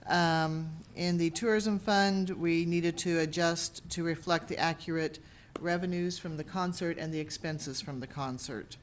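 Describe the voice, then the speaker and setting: A woman's voice: on the low side, steady tone, a little slow, slight background noise. A woman acting as a city finance officer, explaining a budget amendment at a public meeting in a plain, matter-of-fact way.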